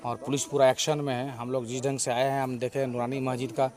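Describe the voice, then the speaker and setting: A man's voice: medium pitch, little pitch movement, a little fast, a little mellow. A man giving an unscripted statement as a local resident, colloquial and rambling. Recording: crowd noise around the voice.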